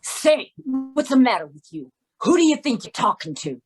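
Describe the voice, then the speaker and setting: A woman's voice: high voice, sing-song, moderate pace, a little mellow, faint music behind. A woman playing a tough, mocking prison guard in an old-time radio drama.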